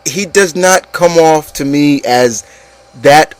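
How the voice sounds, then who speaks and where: A man's voice: on the high side, slow. A man hosting an opinion show, chatting informally about movies and addressing the listeners in a casual, opinionated way.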